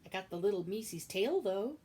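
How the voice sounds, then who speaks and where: A woman voicing a simple, dim-witted young servant girl with slangy, slow speech. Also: mid-range voice, lively intonation, dark tone, a little hiss or hum, close to an everyday voice. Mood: loud eager. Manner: slow, plain-spoken, slangy.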